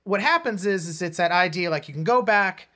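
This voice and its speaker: A man co-hosting a podcast, chatting casually about comics and films in a rambling, halting way with false starts. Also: high-pitched, expressive, sharp tone. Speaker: podcast co-host. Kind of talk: casual comics and film chat.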